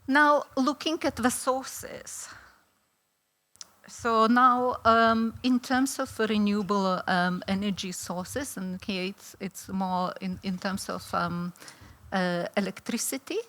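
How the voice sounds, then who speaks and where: A woman's voice: medium pitch, slow, a bit bright. A middle-aged woman with a Lithuanian accent giving a conference talk, hesitant with many fillers and restarts. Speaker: academic conference speaker. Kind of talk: conference presentation.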